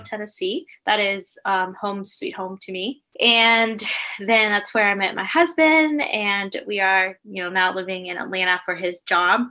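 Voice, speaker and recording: A woman's voice: medium pitch, bright, forceful tone. A young woman from Colombia who speaks natively, chatting as a guest about her work as a wellness coach, with lots of "like" and "you know". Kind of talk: casual interview chat.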